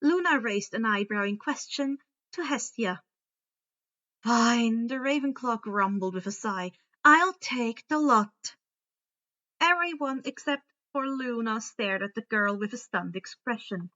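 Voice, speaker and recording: A woman reads fiction aloud as an amateur narrator. Her voice is high and bright and her delivery expressive, though the recording sounds a little dull. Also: normal speed.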